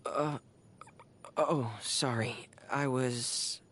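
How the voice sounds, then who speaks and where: An actor playing a shy, gloomy, gentle teenage boy diver in a video game, soft, breathy and hesitant. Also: medium pitch, steady tone, very slow. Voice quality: soft, breathy, near-whispered. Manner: hesitant, reserved, melancholy. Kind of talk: subdued video game character voice lines.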